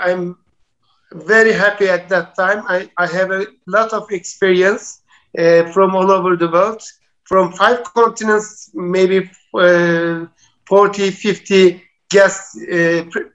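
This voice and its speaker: A man who is a native Turkish speaker, co-hosting and interpreting on a live interview programme in a halting, pausing way. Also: high voice, fairly even intonation, a bit bright. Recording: dull, muffled lo-fi sound.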